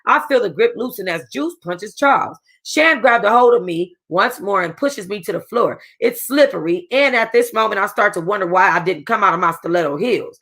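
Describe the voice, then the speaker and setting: Bright-voiced woman reading a novel aloud. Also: medium pitch, normal speed, hardly any pauses. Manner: casual, expressive reading with a streetwise voice. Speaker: book club reader. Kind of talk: first-person novel read-aloud.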